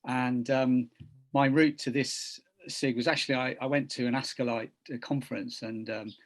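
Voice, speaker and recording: A man's voice: mid-range voice, steady tone, normal speed. A man who teaches English at a university, introducing himself on a video call in a hesitant, self-effacing way, often repeating words.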